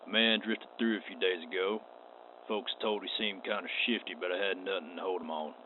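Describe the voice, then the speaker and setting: An actor playing a stern, wary space western marshal in a radio play, with a terse, folksy drawl. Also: male voice, deep voice, steady tone, brisk, close to an everyday voice. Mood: grim. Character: gruff, wary, stern male frontier marshal. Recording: tinny band-limited audio with clear noise.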